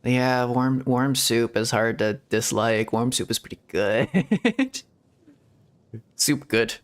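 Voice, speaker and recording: A man's voice: medium pitch, expressive, takes it slowly. A man streaming a game, chatting casually in a playful, giggly, rambling way. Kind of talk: casual gameplay commentary.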